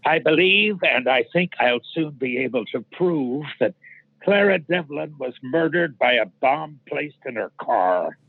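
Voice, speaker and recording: An actor playing a gruff, blunt older retired detective with a husky voice, heard in an audio drama. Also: male voice, on the high side, lively intonation, measured pace, no echo, exaggerated voice. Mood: sure, accusing. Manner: blunt, emphatic. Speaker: retired police detective turned investigator. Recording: band-limited, tinny phone or radio line.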